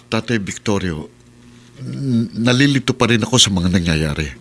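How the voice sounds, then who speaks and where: A man's voice: fairly low pitch, expressive, normal speed. A man playing a devoted, heartbroken young father in a melodramatic Filipino radio drama, earnest and emotional. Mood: bewildered.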